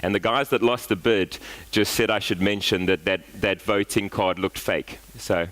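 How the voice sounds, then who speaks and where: A man's voice: lowish voice, steady tone, normal speed, a bit bright, poor audio. A South African man in his forties giving a casual, storytelling talk at a conference, with lots of fillers and restarts. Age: 40s. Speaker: guest speaker.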